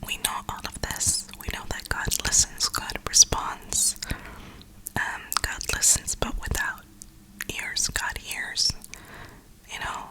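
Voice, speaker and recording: A woman whispering a reflective, rambling ASMR monologue in a breathy, unhurried way, with drawn-out pauses. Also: pushed and bright, rough recording. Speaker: ASMR video creator.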